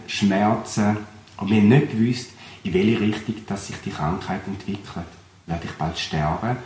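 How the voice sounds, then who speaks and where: A young Swiss pastor with a Thurgau accent speaks in an earnest, reflective way, his voice sitting somewhat low. The recording is roomy, with a faint hum of background noise behind him. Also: darkish tone. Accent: Swiss German, Thurgau. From Switzerland. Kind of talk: personal testimony.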